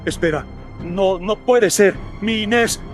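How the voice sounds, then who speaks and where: A man acts out a desperate colonial father in a horror radio play. The voice is high and theatrical, full of anguish over faint music. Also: slow pace. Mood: anguished, despairing. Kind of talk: melodramatic horror radio-drama acting.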